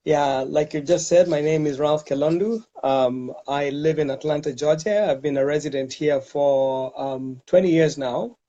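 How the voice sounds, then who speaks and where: A man from Kenya speaks as a guest over a muffled, dull remote call line. His voice is mellow and warm, a little high, and he answers in a calm, measured way. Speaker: guest businessman. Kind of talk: interview answers.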